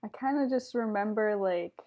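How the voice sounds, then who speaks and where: A woman guest chatting casually, relaxed and loose, with fillers. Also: medium pitch, normal speed, lo-fi sound, slight background noise. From Czech-speaking home. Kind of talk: casual chat.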